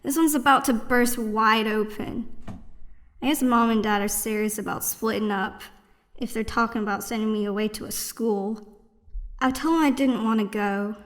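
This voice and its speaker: A woman voicing an anxious, sarcastic thirteen-year-old suburban girl in an audio drama, with an expressive, slangy delivery. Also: a little high, moderate pace, natural voice. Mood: fretful, muttering.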